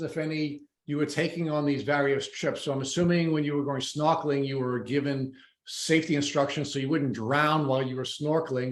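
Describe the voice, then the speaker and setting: A man hosting a podcast, giving enthusiastic introductions and asking measured, deliberate questions with small "uh" pauses. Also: fairly high pitch. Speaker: podcast host.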